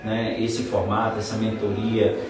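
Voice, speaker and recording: A man's voice: lowish voice, little pitch movement, unhurried, darkish tone. Self-assured lawyer man in echoey room. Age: 40s. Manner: expansive, self-assured explaining with frequent tag questions. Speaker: jury trial lawyer and mentor. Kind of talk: casual interview chat. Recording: echoey room, mic at a distance.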